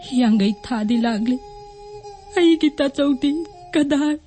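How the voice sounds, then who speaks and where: A woman's voice: high voice, rapid-fire, warm tone, lightly acted. A woman acting in a radio drama as a bereaved younger sister, plaintive and mournful. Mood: tearful longing. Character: sorrowful bereaved younger sister mourning her elder brother. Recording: faint music behind the voice.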